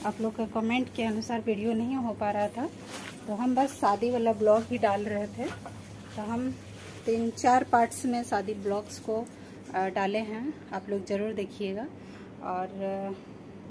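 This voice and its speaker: A young woman chatting casually to her viewers from a cattle shed, friendly and asking them to comment. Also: mid-range voice. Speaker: village vlogger. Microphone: phone camera held close. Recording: noisy phone recording in a shed.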